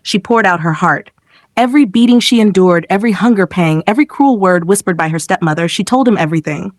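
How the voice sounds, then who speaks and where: A woman's voice: mid-range voice, fast talker, dry recording. A woman reading a scripted, dramatic folktale as a storyteller.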